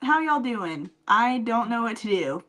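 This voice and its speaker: A woman's voice: on the high side, sing-song, unhurried, fairly bright tone. A woman co-hosting a podcast in casual chat, playful and blunt, given to swearing. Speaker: podcast co-host. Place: remote internet call.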